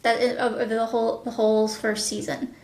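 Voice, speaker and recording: Woman, higher voice, casual interview chat, voice director, echoey room sound. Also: level tone, fairly quick, darkish tone, slight background noise. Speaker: voice director of audio drama productions, interview guest.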